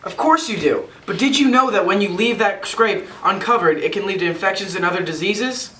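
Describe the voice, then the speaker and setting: A woman's voice: medium pitch, lively intonation, a little fast, fairly bright tone. A young woman reading a scripted amateur radio advertisement as an announcer. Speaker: commercial announcer in a student project. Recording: roomy, distant mic, faint background noise.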